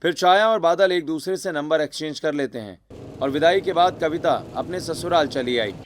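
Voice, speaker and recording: Higher-pitched man narrating a story. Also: moderate pace, a little hiss or hum.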